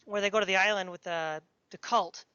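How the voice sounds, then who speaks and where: A woman's voice: medium pitch, steady tone, a little fast, sharp tone, smooth, no room sound. A woman hosting a casual interview, asking and commenting in tentative, meandering phrases. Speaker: podcast interviewer. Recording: dry but dull, lo-fi audio.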